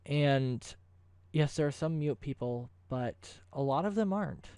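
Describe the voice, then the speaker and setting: A male video host with a slightly high, mellow voice talks casually and effusively, rambling through promotional remarks. The sound is close and dry, with no room to it. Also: lively intonation, a little slow, smooth, dry recording. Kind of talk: casual ad-libbed promotional vlog talk.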